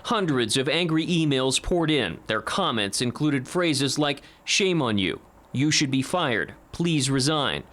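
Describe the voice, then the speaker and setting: A man's voice: medium pitch, expressive, a little slow, a bit bright. An American man reading a scripted investigative television news report as the reporter.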